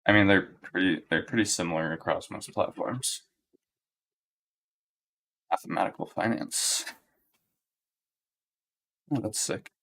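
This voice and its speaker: A young man giving live trading commentary to his chat in a relaxed, monotone way, thinking aloud and often checking in with a "right?". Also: a little slow, vocal fry, long pauses. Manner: monotone, relaxed, low-key. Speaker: day trader streaming live.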